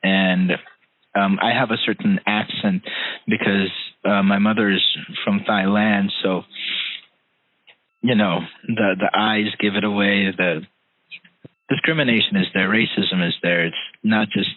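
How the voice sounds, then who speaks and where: A man speaking earnestly and haltingly in a conversation, often pausing to search for his words. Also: on the low side, fairly even intonation. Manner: hesitant, halting delivery. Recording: roomy, tinny phone-like call audio.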